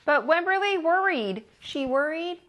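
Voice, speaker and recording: A woman reading a children's story aloud as a storytime host and teacher, with expressive, deliberate delivery. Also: very high voice.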